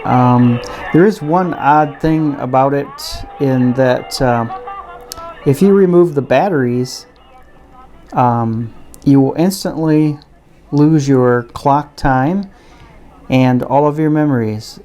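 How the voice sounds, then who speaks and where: A man giving a casual, unscripted product review and demonstration in a halting, hesitant way. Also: mid-range voice, mellow, faint music behind. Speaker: gadget reviewer.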